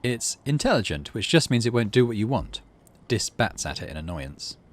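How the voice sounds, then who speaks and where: A man's voice: medium pitch, sing-song, moderate pace, a little hiss or hum. A man hosting a game playthrough, reading story text aloud expressively and adding casual asides. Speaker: gaming video host.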